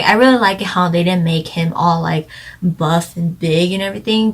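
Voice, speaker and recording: A woman chatting casually as she reviews a drama, rambling and speculating with lots of fillers. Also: on the low side. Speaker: drama reviewer, channel co-host.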